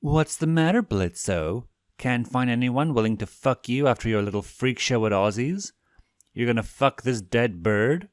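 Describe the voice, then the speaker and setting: A man's voice: medium pitch, lively intonation, normal speed, dry recording, clean studio sound, voice pushed up, lightly acted. A man voicing a mocking female succubus bully in a fan story, crude and contemptuous in manner.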